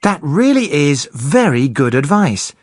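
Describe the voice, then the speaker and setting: A presenter explaining English grammar slowly and clearly for beginners. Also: mid-range voice, big pitch swings, dry recording, studio quality. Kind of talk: scripted teaching narration.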